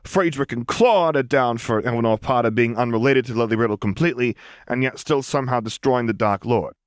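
A man's voice: medium pitch, a little fast, clear, resonant tone, no room sound, clean studio sound, voice pushed up, close to an everyday voice. A man doing a smug, gloating vampire secretary. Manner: pleased with himself, teasing.

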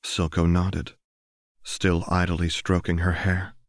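A man reading fiction aloud as an audiobook narrator, in a measured, subdued way into a close mic. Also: sits low, monotone, dry recording.

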